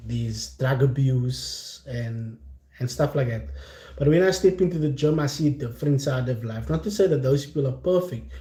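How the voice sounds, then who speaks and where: A South African man with a Cape Flats accent talks straight to his viewers as a livestream host. His tone is warm and relaxed at a middle pitch, his wording candid and slangy. The room adds a noticeable echo to his voice. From Cape Town, South Africa. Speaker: content creator hosting a chat livestream. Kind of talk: casual, unscripted livestream talk. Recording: roomy, echoing sound.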